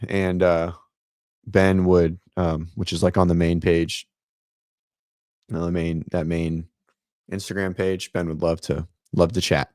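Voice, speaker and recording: A man with a raspy voice hosting a casual fitness livestream from his home office, rambling with many ums and restarts. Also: low-pitched, a little mellow, dry recording, clean studio sound. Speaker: host of a fitness livestream show. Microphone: set-up studio mic.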